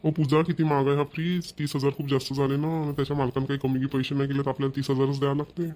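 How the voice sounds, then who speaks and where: Cartoon, man acting young husband, impatient, thrifty, Maharashtrian accent, rapid monotone. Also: mid-range voice, lightly acted. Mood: agreeable. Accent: Marathi (Maharashtra).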